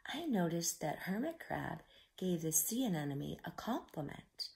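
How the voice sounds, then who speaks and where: A woman reading a story aloud to young children, explaining simply with drawn-out emphasis like a teacher. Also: lowish voice, big pitch swings, vocal fry, darkish tone.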